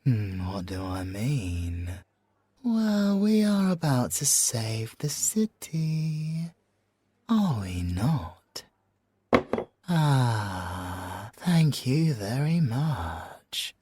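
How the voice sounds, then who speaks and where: A British man playing a suave, flirtatious, possessive siren in an ASMR audio roleplay, teasing and smugly self-assured, with a breathy, husky voice. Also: medium pitch, big pitch swings, warm tone, a little hiss or hum. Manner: slow, drawn-out teasing delivery with whispered phrases.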